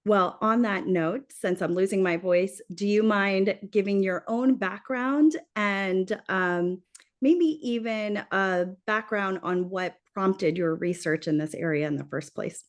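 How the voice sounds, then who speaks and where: Brief: woman co-host of a professional webinar, mid pitch, voice giving out, friendly with fillers. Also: slow pace. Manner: friendly, lightly scripted with fillers. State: losing her voice. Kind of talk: corporate webinar.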